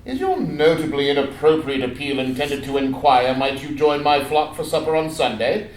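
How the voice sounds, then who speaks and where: A man's voice: a little high, expressive, moderate pace, a little hiss or hum, lightly acted. A man playing a pompous, condescending scholarly owl, speaking in formal, pedantic phrases. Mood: condescending, scolding. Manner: pedantic, formal, stuttering hoots on words. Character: pompous, scholarly, condescending old-fashioned great gray owl. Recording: echoey room, far from the mic.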